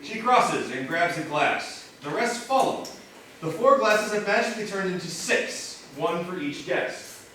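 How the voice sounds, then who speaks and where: In a very echoey room with clear noise, a man reads stage directions aloud. His voice is fairly high and varied, picked up from a distance. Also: animated, a little slow, bright, forceful tone.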